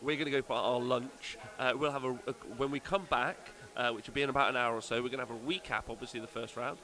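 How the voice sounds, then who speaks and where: Male stream host, bright tone, halting chatty delivery with lots of ums. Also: medium pitch, fairly even intonation. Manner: chatty, halting, with many fillers. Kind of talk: casual live commentary.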